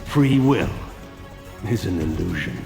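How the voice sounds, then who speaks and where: An actor playing an arrogant, philosophical ancient vampire lord in a video game, with grandiose, sinister theatrical oratory. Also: male voice, low-pitched, sing-song, slow pace, creaky, darkish tone, reverberant, soft background music, full character voice. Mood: cold, condescending. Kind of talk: dramatic video game acting.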